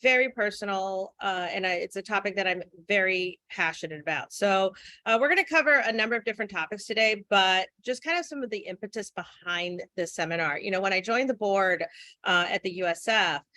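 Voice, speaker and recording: A woman's voice: mid-range voice, brisk, sharp tone, dry recording. A woman in her forties giving an earnest, frank webinar talk, with many fillers. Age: 40s. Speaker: guest speaker. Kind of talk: webinar presentation.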